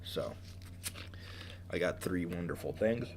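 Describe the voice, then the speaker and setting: Deep-voiced congested man, slow and halting. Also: expressive, gravelly. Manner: halting and apologetic, with many ums. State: congested from a cold. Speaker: streamer. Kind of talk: casual gaming stream chat.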